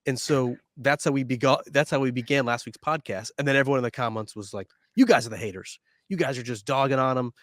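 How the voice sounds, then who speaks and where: A man co-hosting a golf podcast, sounding a little nasal from a head cold and running low on energy. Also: a bit bright, no echo, studio quality. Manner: measured, slightly tired delivery. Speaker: podcast co-host. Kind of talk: casual sports podcast chat. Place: studio.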